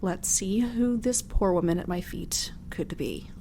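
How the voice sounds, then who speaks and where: A woman playing a composed, commanding blood hunter in a horror audio drama, speaking in a measured, businesslike way. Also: medium pitch, animated, warm tone, slight background noise, lightly acted. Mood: resolute. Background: music.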